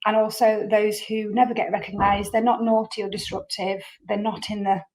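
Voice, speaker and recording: A woman's voice: mid-range voice, little pitch movement, moderate pace, poor audio. A woman hosting a podcast, introducing her guest in an earnest, rambling way with many repeated words. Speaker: podcast host. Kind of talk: casual interview chat.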